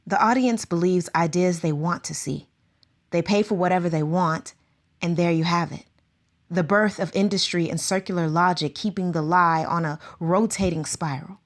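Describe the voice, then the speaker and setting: An actress playing a conflicted teacher of circus students, speaking softly and reflectively in an audio drama. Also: female voice, fairly low pitch, moderate pace, natural voice. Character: female circus school teacher, conflicted and wistful.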